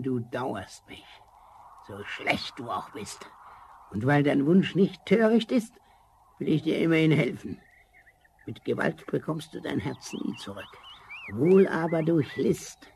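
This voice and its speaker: High, creaky, stern forest spirit. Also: male voice, darkish tone, exaggerated voice. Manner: solemn, commanding, old-fashioned diction. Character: tiny ancient glass forest spirit, stern, fierce, finally merciful. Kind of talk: fairy-tale audio drama acting. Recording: vinyl rip with faint noise.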